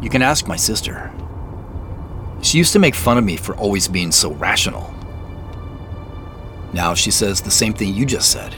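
An actor playing a reflective, self-doubting man in a naturalistic audio drama, speaking in a halting, understated way. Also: on the low side, expressive, over music, lightly acted. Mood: reflective, wry.

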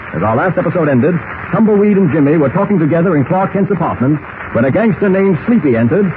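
A man with an American accent delivering dramatic scripted narration as a 1940s radio serial announcer. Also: sits high. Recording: tinny, noisy old radio recording.

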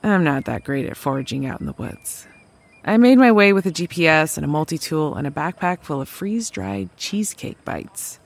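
A woman's voice: low voice, lively intonation, normal speed, vocal fry, heavily acted. A woman playing a resourceful drifter mechanic, telling of travels in a naturalistic audio drama, conversational and wry. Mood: reflective, wry.

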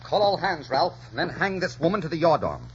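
Brash, swooping-voiced pirate captain. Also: male voice, on the high side, very animated, a little fast. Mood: cold, commanding. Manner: theatrical, commanding, taunting. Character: proud, ruthless male pirate captain, brash and mocking former lover. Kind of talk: 1940s radio drama acting. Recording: muffled, noisy 1940s radio recording.

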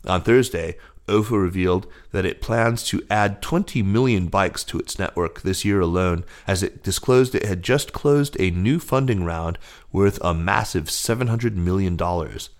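Low-voiced man reading news. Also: moderate pace. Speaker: news reader. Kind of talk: scripted news narration.

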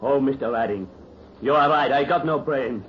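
A man's voice: mid-range voice, normal speed, lightly acted. A muffled old radio drama voice with some hiss: a man playing a big, good-natured Swedish labourer. He speaks simply and earnestly in broken grammar, with a Swedish accent. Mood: ashamed, earnest. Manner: simple, earnest, ungrammatical speech. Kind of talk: 1930s radio acting. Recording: muffled, noisy 1930s radio recording.

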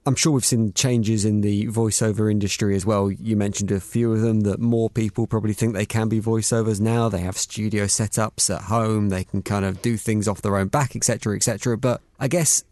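Male podcast interviewer, lower register, dry studio sound, conversational. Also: fairly even intonation, brisk, hardly any pauses, darkish tone, no echo.

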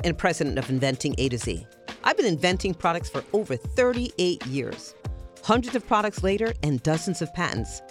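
A woman's voice: on the low side, fairly bright tone. A woman reading an upbeat advertisement for her product development business. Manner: sing-song, promotional. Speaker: inventor in an advertisement. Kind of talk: upbeat ad read.

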